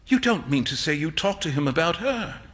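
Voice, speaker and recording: A man voicing a naive, earnest young English gentleman in a storybook, easily flustered, plaintive and indignant. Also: on the high side, sing-song, brisk, voice pushed up. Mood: shocked indignation. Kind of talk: acted storybook dialogue.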